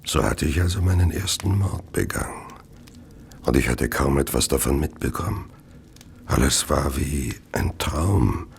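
Very deep, gravelly brooding killer. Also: male voice, a little hiss or hum, full character voice. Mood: dark, gloating. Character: brooding Victorian English merchant confessing his murders. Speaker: narrator of an audio drama. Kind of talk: first-person radio play narration.

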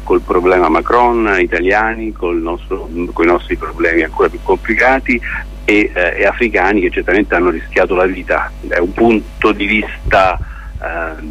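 A man answering as a theatre director in a radio interview, explaining in a halting, deliberate way with pauses and repeated words. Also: deep voice, a bit bright. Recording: muffled, lo-fi radio interview with noise.

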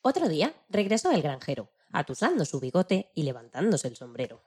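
A woman with a husky voice narrating a rhyming children's tale in a playful, declamatory, theatrical way. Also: deep voice, huge pitch swings, fairly quick, darkish tone. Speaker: storyteller.

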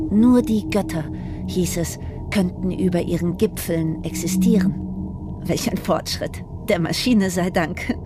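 A woman's voice: lowish voice, lightly acted. A woman playing a servile, eager and apologetic airship stewardess in a radio play, polite and flustered. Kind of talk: German radio play dialogue.

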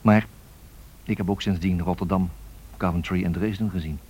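An actor playing a nostalgic narrator who thinks aloud reflectively, pausing, in a radio documentary drama. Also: male voice, deep voice, steady tone, darkish tone, husky. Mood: reflective, reminiscing. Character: musing, nostalgic male narrator looking back on the 1930s. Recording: faint background noise and clicks.

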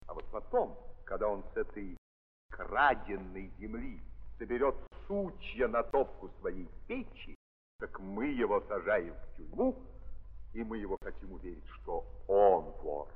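An earnest husky nobleman, old stagey radio play, muffled and echoing like a hall. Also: fairly low pitch, animated, measured pace. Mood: earnest, indignant. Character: earnest, guilt-ridden idealistic nobleman landowner. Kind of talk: 1930s Russian stage radio drama acting. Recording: muffled 1930s recording, hall reverb.

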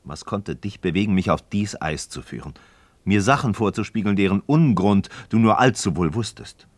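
An actor playing a disillusioned, impassioned Freemason novice in a philosophical radio play, speaking in an aggrieved, rhetorical, declamatory way. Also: male voice, on the low side, moderate pace, no echo, lightly acted. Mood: reproachful. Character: disillusioned, impassioned male Freemason novice questioning his friend. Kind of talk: mid-century German radio play acting of philosophical dialogue.

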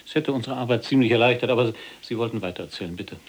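An actor playing a composed Polish resistance prisoner in a German radio drama, measured, dry and probing. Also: male voice, mid-range voice, husky, close to an everyday voice. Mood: calm probing.

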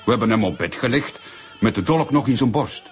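A man's voice: medium pitch, animated, fairly quick, close to an everyday voice. A male actor playing a disdainful music teacher under questioning, speaking in emphatic, scornful phrases in a radio crime drama. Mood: anxious confession.

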